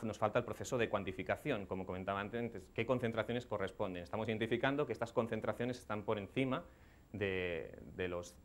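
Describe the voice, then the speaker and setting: Quick-talking man at a conference Q&A. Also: lowish voice, slight background noise. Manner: quick, restarts mid-phrase, technical. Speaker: conference speaker. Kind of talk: answering audience questions. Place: conference hall, at a lectern. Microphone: headset mic.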